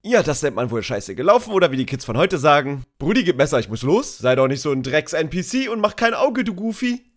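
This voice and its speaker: Man playing a lively mock-formal football show host. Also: a little high, fairly quick, hardly any pauses. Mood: chummy, presenting. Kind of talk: parody of sports presenting.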